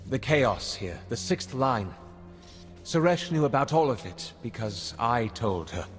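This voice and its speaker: Earnest male spy for a game, mid-pitched and apologetic, carrying guilt, recorded with hall reverb. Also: sing-song, a little slow. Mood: guilty, subdued. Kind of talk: video game cutscene acting. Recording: reverberant hall, music underneath.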